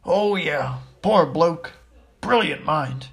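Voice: medium pitch, expressive, slow, creaky. A storyteller voicing a big, hearty, rustic giant of a man with a blunt, folksy manner. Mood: confiding.

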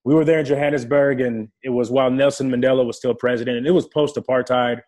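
A man's voice: mid-range voice, steady tone, fast, darkish tone. A young man from the Democratic Republic of Congo, a native French speaker, telling his life story earnestly and vividly as a guest in a casual interview. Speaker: guest, player engagement staff for a football team.

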